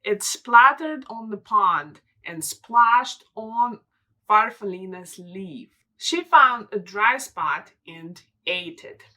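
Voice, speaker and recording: Bright, expressive woman reading a storybook. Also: medium pitch, slow pace, low-quality recording. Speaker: storyteller for children. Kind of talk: children's storybook narration.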